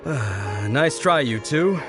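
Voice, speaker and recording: A man's voice: medium pitch, animated, slow pace. A man playing an earnest, awkward young boy in a video game, lovestruck and dreamy, with a hesitant, bashful delivery. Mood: startled. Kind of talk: English video game voice acting. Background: game music.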